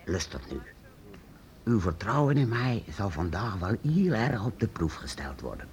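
An actor playing a secretive, eccentric master painter-inventor in a radio play, hesitant and halting, then urgent. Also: male voice, on the low side, sing-song, lo-fi sound. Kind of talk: radio drama acting.

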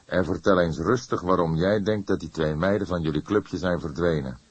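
A man reading the part of an old police detective in an audiobook, patient and hesitant, with measured, probing questions. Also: sits low, slightly rough, no room sound. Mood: friendly, patient.

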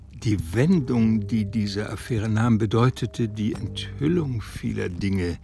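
A man reading reflective literary narration for a radio play, with a creaky voice. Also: lowish voice, darkish tone. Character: reflective literary male storyteller of a spy novel. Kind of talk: literary past-tense narration.

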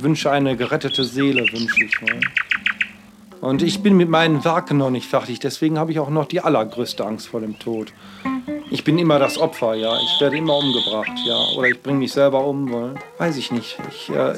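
A man giving a rambling personal monologue, speaking haltingly, often repeating himself and circling back on his phrases. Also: mid-range voice.

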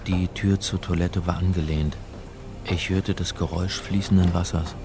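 Deep-voiced, wry crime story hero. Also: male voice, flat delivery, moderate pace, creaky, music in the background. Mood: wry narration. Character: hapless, wry male first-person crime story hero. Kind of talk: German radio play narration and acting.

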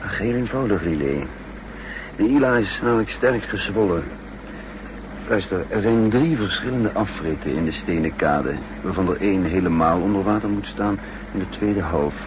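An actor playing a cool, resourceful captured spy in a radio drama, calmly and wryly explaining things. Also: male voice, low-pitched, moderate pace. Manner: calm, wry, explaining patiently. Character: cool, resourceful captured male spy narrating his story. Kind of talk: 1960s Dutch radio drama acting. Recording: noisy 1960s radio drama recording.

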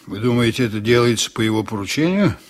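Radio drama casting: male actor as a male sea captain, mid-pitched, stern, composed, used to giving measured orders. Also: lively intonation, natural voice. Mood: attentive, formal. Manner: commanding, measured. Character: stern, composed Estonian ship's captain, dutiful, sometimes exasperated. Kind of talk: 1980s Soviet radio drama acting.

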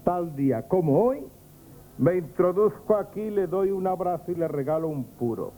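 An actor from a radio comedy playing a jovial, pompous friend of a shopkeeper, with flowery speech and a Spanish accent. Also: male voice, on the high side, dark tone, natural voice. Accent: Spain. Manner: pompous, flowery, grandiloquent wordplay, chuckling. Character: jovial old shop friend Liborio, pompous flowery talker. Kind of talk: comic radio theatre acting. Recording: noisy 1970s radio drama tape.

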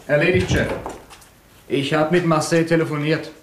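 Actor as an eager police underling reporting to his chief, higher voice, roomy, faint hiss. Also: fairly even intonation, natural voice. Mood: brisk. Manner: brisk, obliging. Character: eager young male police assistant.

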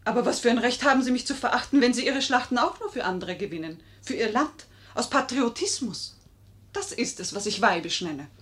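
High-voiced, wily, charming lady. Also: lively intonation, fairly bright tone. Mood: impassioned. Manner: theatrical, emotive, dramatically drawn-out emphasis. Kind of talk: 1950s German radio play acting.

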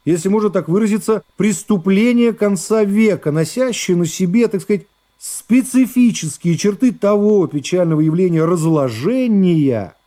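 An actor playing a self-satisfied, dim-witted prosecutor who orates with drawn-out words and affected pauses in a radio play. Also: male voice, high-pitched, dark tone. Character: pompous, self-satisfied, dim-witted male assistant prosecutor orating in court.

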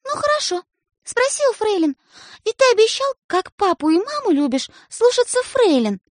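A woman's voice: very high-pitched, very animated, normal speed, no room sound, clean studio sound, voice pushed up. A woman voicing a bossy little girl who coaxes and lectures her younger brother. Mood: coaxing. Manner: wheedling, then stern and preachy. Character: bossy little girl, coaxing older sister.

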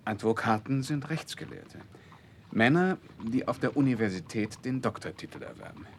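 An actor playing a confident friend giving advice in a 1960s radio drama, speaking deliberately and didactically. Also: male voice, medium pitch, lively intonation, slight background noise, lightly acted. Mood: advising, friendly. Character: advising, confident male friend of a young gentleman.

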